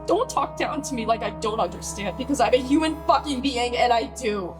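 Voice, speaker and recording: A woman's voice: a little high, lively intonation, a little fast, over music, lightly acted. An actress playing a hurt, furious bride confronting her famous sister in a naturalistic radio play, scolding and pleading with emphatic profanity.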